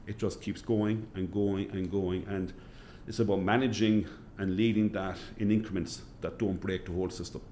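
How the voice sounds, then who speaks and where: A middle-aged man with a Cork Irish accent, answering interview questions as a hospital chief executive in a measured way, repeating phrases for emphasis. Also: sits low, steady tone, mellow, a little hiss or hum. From Cork, Ireland.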